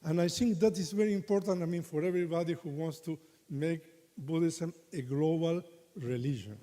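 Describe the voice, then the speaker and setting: A man's voice: fairly high pitch, normal speed, warm tone. A man from Argentina, giving an earnest conference speech as a Buddhist monk, repeating himself and restarting phrases. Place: large conference hall. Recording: hall reverb with faint noise.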